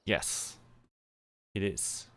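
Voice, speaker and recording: A man's voice: very slow, a bit bright, no room sound. A man chatting casually on a livestream, rambling to himself as he goes about small tasks. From Canada. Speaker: streamer.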